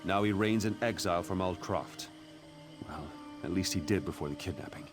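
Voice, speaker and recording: A man's voice: deep voice, moderate pace, natural voice. An actor playing a harried, scornful court chamberlain in a fantasy video game, formal and wry in his exasperation. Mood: worried, scornful. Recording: music behind the voice.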